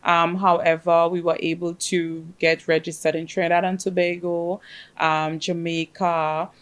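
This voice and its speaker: Chatty Caribbean woman, lowish voice. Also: little pitch movement, smooth. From Caribbean, grew up in Grenada. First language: Spanish. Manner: chatty storyteller, frequent "um" pauses. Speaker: guest, fintech project manager. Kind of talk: casual interview chat.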